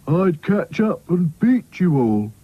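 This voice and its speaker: A sulky cartoon rag doll, slow and husky. He moans stubbornly and drags his words, pitched up in a dull, lo-fi recording. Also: very animated, heavily acted. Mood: glum excuse. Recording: dull, muffled lo-fi audio.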